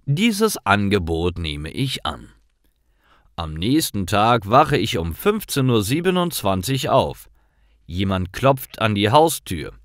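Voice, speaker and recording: A man narrating scripted stories for language learners in deliberate, clearly separated short sentences. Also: on the low side, sing-song, dry recording, clean studio sound.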